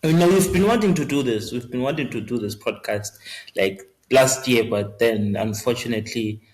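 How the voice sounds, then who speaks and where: A man's voice: mid-range voice, moderate pace, a little mellow. A man, answering interview questions in a hesitant way with many fillers and restarts. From Zimbabwe. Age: 20s. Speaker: guest singer. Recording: roomy echo.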